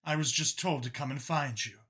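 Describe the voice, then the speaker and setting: Man as female alien officer, mild, deferential, unhurried delivery, mid pitch, audiobook dialogue. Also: pushed and bright, husky. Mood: uncertain.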